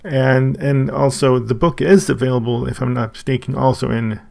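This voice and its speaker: Gravelly man with a Swedish first language. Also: mid-range voice, fairly even intonation, a little mellow. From Sweden. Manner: halting, thinking aloud with many restarts. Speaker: channel host. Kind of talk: casual unboxing review.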